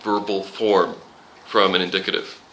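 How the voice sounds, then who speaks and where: A man leads a relaxed Bible class, quoting verses and joking with his students. His voice is pitched a little low but sounds very bright and forward. A faint hiss sits under the recording. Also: normal speed, low-quality recording. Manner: conversational, quotes scripture, jokes with the class. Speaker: Bible study teacher. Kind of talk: informal Bible class teaching.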